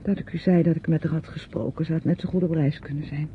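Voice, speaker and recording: An actress playing a guarded, weary young niece in a radio play, speaking with restraint. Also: female voice, sits low, steady tone, fast talker, lightly acted. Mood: weary confession. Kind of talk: radio drama acting. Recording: dull, noisy old radio play recording.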